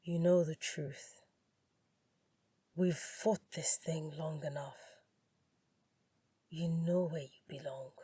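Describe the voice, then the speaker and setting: A woman voicing a poised, possessive male lover and rival in a low, deliberate, breathy drawl, in a dramatic story. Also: steady tone, dark tone, dry recording, heavily acted. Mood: quietly insistent.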